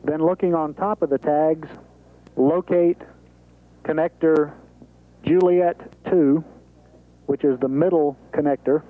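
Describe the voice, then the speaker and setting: A man's voice: fairly high pitch, steady tone, takes it slowly, long pauses, narrow, tinny audio. An American man at mission control relaying procedures over radio in a businesslike, step-by-step way. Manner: clear step-by-step instructions, businesslike. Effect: radio transmission. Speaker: capsule communicator at mission control. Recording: thin, band-limited space radio link.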